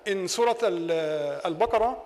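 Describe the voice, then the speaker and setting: A high-voiced man with an Egyptian accent lectures in accented English. He argues his case and keeps asking the listeners rhetorical questions. His voice rings with long reverb in a mosque hall. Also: lively intonation, moderate pace, a little mellow. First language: Arabic. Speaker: imam.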